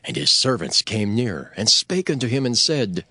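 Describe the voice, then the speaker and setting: A man's voice: lowish voice, very animated, moderate pace, sharp tone, dry recording. A man narrating scripture in a dramatized, scripted storytelling style.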